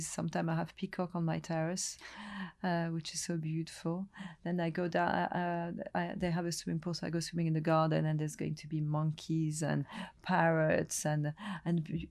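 A middle-aged woman from Lebanon talks through her stories in a loose, meandering way, full of fillers and restarts. Her voice sits low with a gravelly, creaky edge. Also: darkish tone. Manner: hesitant, many restarts and fillers, chatty storytelling. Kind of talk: relaxed interview conversation.

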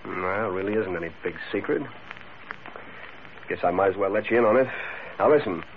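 An actor playing a brusque, scheming gang leader who gives orders in a vintage radio crime drama. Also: male voice, sits low, a little fast. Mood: cocky, commanding. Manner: commanding, brusque. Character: bossy, scheming gangster leader posing as a cop. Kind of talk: 1940s radio acting. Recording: muffled, noisy vintage radio recording.

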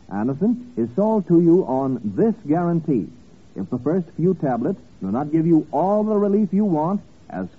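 A man delivers an old-time radio commercial. His voice is husky and lively in pitch, and it comes through a tinny vintage broadcast. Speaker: radio announcer. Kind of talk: 1950s radio commercial read.

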